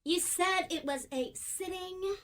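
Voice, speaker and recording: A coy young female model in a radio comedy. Her voice is high and piercingly bright. She drawls in a dim, brassy way. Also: slow, full character voice. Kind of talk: comic radio play acting.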